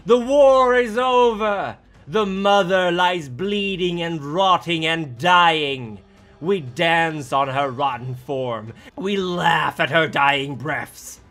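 A man's voice: mid-range voice, creaky, pushed and bright, husky, heavily acted. A man voicing a depraved, exultant wolf creature cult, chanting and declaiming in a dramatic fantasy story. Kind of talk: dramatic audiobook-style character acting.

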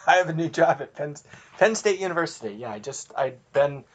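A middle-aged man talking as a podcast guest in casual chat, halting and often restarting as he searches for words. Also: fairly high pitch, lively intonation, breathy edge.

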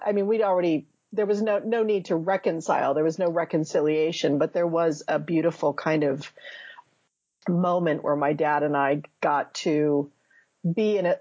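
A woman's voice: on the low side, darkish tone, husky, no echo, muffled recording. A woman in her fifties, a memoir author answering interview questions thoughtfully, searching for words and restarting often. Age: 50s.

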